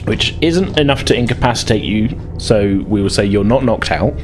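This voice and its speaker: A man's voice: fairly low pitch, moderate pace, background noise. A man acting as game master, narrating a tabletop game in an informal, conversational, hedging way.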